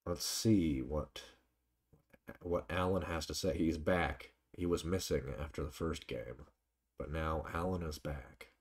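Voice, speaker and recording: A man's voice: very deep voice, unhurried, husky. A man chatting casually as a game streamer, playful and joking in his live commentary.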